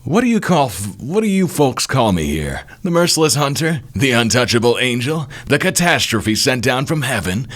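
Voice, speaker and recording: A man's voice: medium pitch, sing-song, normal speed, hardly any pauses, a bit bright, voice pushed up. A man voicing a cocky, mocking villain from a comic with theatrical, taunting delivery. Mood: boastful. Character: cocky, mocking villain feared as a merciless angel.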